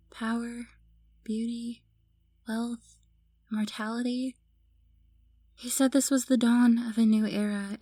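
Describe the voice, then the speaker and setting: A high, close and dry voice from an actress plays a newly turned vampire woman. She is haunted by guilt and grief. Her delivery is halting and pleading, in the style of a cinematic audio drama. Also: mellow, slightly rough, no echo. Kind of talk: cinematic audio roleplay acting.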